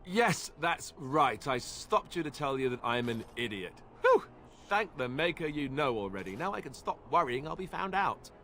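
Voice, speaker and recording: An actor playing a bumbling, self-mocking young warrior with a wry, halting manner. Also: male voice, fairly high pitch, sing-song, bright, forceful tone, slight background noise, exaggerated voice. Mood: sheepish.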